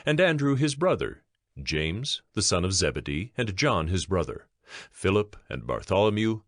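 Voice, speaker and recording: Deep-voiced male scripture narrator. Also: fairly bright tone, no room sound, clean studio sound.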